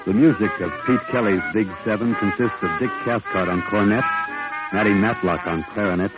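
A man with a low voice announces scripted credits in vintage radio style. The recording is muffled, with clear brass music playing behind him. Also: a little fast.

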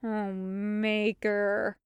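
Woman reading a sheepish, hesitant male adventurer, smooth-voiced. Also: medium pitch, little pitch movement, drawn-out words, dry recording, highs cut off. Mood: dismayed. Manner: hesitant, apologetic.

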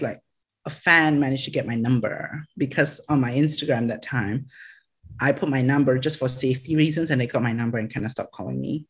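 A man's voice: a little high, fairly bright tone. Young man, filler-heavy chatter. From Malaysia. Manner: rambling, constant "like" fillers, laughs often. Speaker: social media creator, guest. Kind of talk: casual interview chat.

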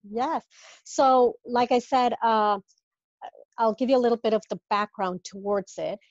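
A woman's voice: fairly high pitch, measured pace, a little mellow, no echo, highs cut off. A woman in her fifties from Mexico, a business coach, talking through her career in a casual interview with many fillers and restarts.

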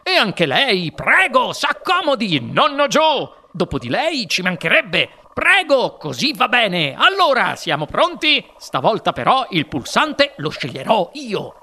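A storybook reader voicing an exuberant, eccentric factory owner, a man who gushes in excitable exclamations. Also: mid-range voice, measured pace, few pauses, pitched above the performer's own voice, full character voice. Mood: overjoyed, urging. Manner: gushing, exclamatory, breathlessly excitable.

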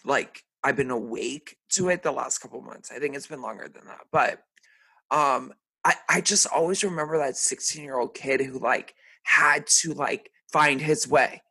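Chatty man, bright but husky. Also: normal speed, dry recording. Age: 30s. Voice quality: deeper and raspier than usual today. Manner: chatty, lots of "like" and "um". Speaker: podcast host. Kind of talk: casual chat.

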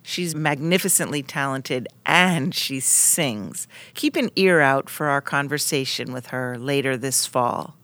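A woman's voice: very deep voice, a little slow, hardly any pauses, a bit bright, no room sound. A woman hosting a podcast, giving scripted introductions and asking interview questions. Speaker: podcast host.

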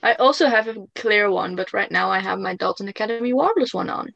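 A woman's voice: mid-range voice, lively intonation, a little fast, gravelly, fairly bright tone, no room sound, muffled recording. A woman chatting casually with a co-host about favorite songs, often giggling. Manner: giggly, breaks into singing lines. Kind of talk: casual fan chat.

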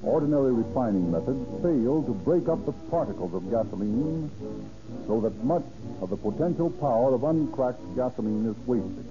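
A man's voice: mid-range voice, expressive, moderate pace, over music. An American man announcing in a formal, emphatic 1930s radio style, selling and narrating from a script. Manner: formal, emphatic salesman-like delivery. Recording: dull old radio recording.

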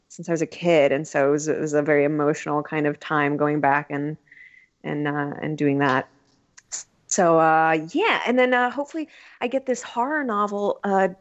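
A woman's voice: on the low side, dull audio. A woman actress chatting as a podcast guest, talkative, laughing and swearing freely. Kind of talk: casual interview chat.

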